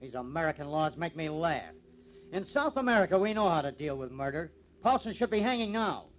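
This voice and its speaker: A man's voice: fairly high pitch, big pitch swings, brisk. An actor playing a bitter, hot-headed young man, sneering and combative, in 1950s radio drama style. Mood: bitter, scornful. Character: bitter, hot-headed young farmer's son, contemptuous of lawmen. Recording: muffled, noisy old radio recording.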